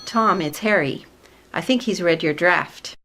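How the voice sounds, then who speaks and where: Woman as skeptical staffer, wry, measured, lowish voice, radio sitcom. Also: pushed and bright, slight background noise. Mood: matter-of-fact. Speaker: actor in a radio play. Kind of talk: sitcom-style radio comedy acting.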